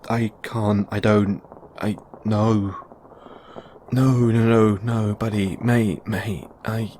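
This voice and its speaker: A man's voice: mid-range voice, darkish tone, a little hiss or hum. A man playing a kindly middle-aged father in an audio roleplay, nervous, awkward and stammering. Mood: flustered.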